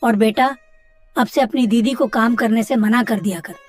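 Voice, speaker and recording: A woman voicing a kindly older village uncle in a cartoon story, giving gentle moral advice. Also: fairly high pitch, normal speed, soft background music, natural voice. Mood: advising. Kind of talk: dramatised cartoon story dialogue.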